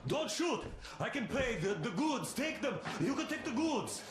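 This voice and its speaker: A man's voice: very high-pitched, very animated, a little slow, heavily acted. An actor playing a terrified man who begs not to be shot, stumbling over his words. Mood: pleading. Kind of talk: video game cutscene acting. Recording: game mix with loud music.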